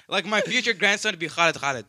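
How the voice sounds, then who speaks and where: A man's voice: sits high, a little fast, dry recording, clean studio sound. A young man co-hosting a podcast, chatting casually with lots of slang and laughter. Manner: laughing, slangy, animated storytelling. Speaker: podcast co-host. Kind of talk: casual chat.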